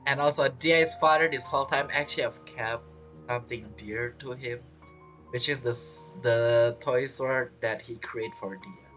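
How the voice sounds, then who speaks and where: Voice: mid-range voice, fairly even intonation, normal speed, fairly bright tone, faint music behind, poor audio. A game streamer giving casual let's play commentary in non-native English phrasing.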